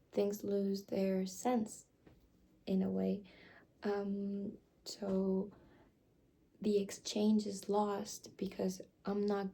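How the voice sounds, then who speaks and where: A woman doing an ASMR roleplay, soft-spoken and partly whispered, explaining things in a halting, rambling way with many fillers. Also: mid-range voice, gravelly, slightly rough. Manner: slips between whisper and soft voice. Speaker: ASMR creator.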